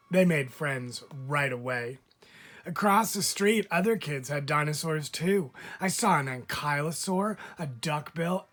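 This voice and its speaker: A man, a teacher, reading a children's picture book aloud with lively, expressive storytelling. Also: fairly high pitch.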